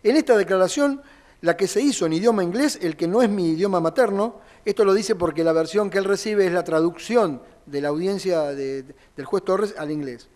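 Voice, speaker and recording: A man's voice: high-pitched, brisk, a little mellow. A man, a defense lawyer giving a formal courtroom closing argument and reading documents aloud at length.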